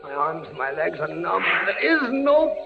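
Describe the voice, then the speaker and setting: An actor playing an anguished French captain tortured by the Inquisition, in a theatrical, declamatory 1940s radio drama style. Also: male voice, a little high, very animated, normal speed, creaky, over loud music. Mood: weary dread. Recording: noisy, dull vintage radio recording.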